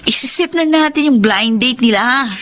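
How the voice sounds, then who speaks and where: Teasing young female friend, highish voice, pushy, scheming, romantic radio drama. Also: expressive, moderate pace, fairly bright tone. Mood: conspiring.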